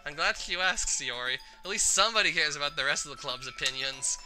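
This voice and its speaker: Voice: medium pitch, animated, fast, sharp tone, soft background music, low-quality recording. A performer voicing a brash, feisty, sarcastic teenage girl with a blunt manner in a visual novel. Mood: smug, indignant. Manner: blunt, indignant.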